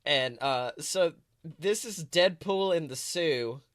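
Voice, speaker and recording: A podcast co-host chatting casually and reading fan fiction aloud in an animated, wry way, often self-interrupting. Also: mid-range voice, slow, no echo, studio quality.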